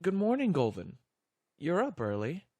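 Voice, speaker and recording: A man's voice: on the high side, wildly swooping pitch, normal speed, mellow, breathy edge, no room sound, full character voice. A man playing a friendly father pony in an audio drama, with a snarky, teasing manner.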